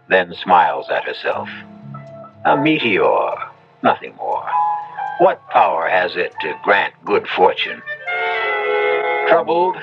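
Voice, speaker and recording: A man hosting and narrating a radio drama in a solemn, deliberate storytelling manner. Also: on the low side, highs cut off. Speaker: host and narrator. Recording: band-limited old radio recording, music behind.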